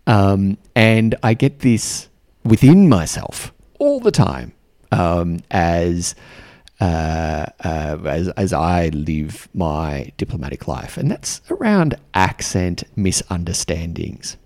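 A man's voice: deep voice, very animated, slow, a little mellow, no room sound, clean studio sound. A middle-aged Australian man with a mild Australian accent, hosting a solo podcast in a reflective, rambling way full of ums and uhs. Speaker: podcast host and diplomatic mentor. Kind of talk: casual solo podcast talk.

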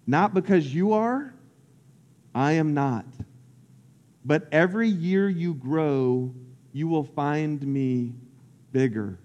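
A man voicing a great, wise and gentle male lion, speaking slowly and softly. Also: a little high, in a large hall, dull audio, pitched below the performer's own voice.

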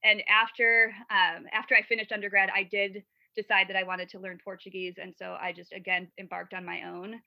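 Flat, gravelly-voiced woman from Wisconsin. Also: mid-range voice, a little fast, few pauses, dry recording. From Wisconsin, USA. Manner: rambling, with many fillers and restarts. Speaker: podcast guest, mother of three. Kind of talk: casual interview storytelling. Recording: dull lo-fi sound.

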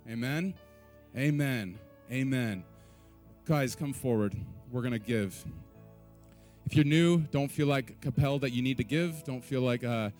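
A man leading a church service, urging the congregation with call-and-response lines and joking asides, then praying. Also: medium pitch. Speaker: worship leader. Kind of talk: church stage exhortation and prayer. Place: church sanctuary.

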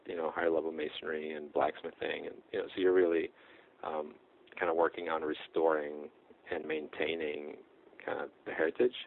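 Deep gravelly man, tinny sound. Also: slight background noise. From Czech Republic. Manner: hesitant, many fillers and restarts. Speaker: guest, blacksmith. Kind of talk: casual interview. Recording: tinny phone-like audio.

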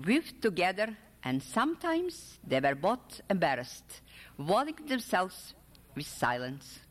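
A woman reading literary prose aloud with a dramatic, measured storytelling delivery. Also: mid-range voice. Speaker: author or reader at a literary event. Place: large hall at a public literary event. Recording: echoey hall with long reverb.

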